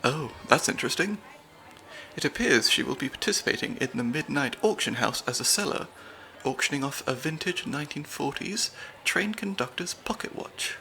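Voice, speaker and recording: A man's voice: on the high side, lively intonation, normal speed, vocal fry, a little hiss or hum, full character voice, poor audio. A young man voicing a nerdy, slightly anxious stand-in spy handler with dry wit, in an ASMR audio roleplay. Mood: analytical. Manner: analytical, dry wit, soft-spoken.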